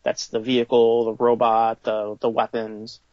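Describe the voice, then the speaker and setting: A man with a mid-pitched voice talks informally as an interviewed designer. He thinks aloud haltingly. The sound is dry and a little dull. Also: completely flat delivery, dry recording. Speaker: toy designer being interviewed. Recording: slightly dull, lo-fi recording.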